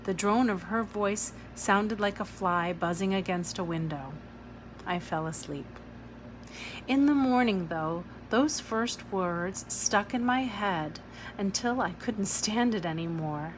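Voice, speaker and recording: A woman's voice: medium pitch, slow. A woman reading a children's novel aloud as scripted narration. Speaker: audiobook-style reader. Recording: clear background noise.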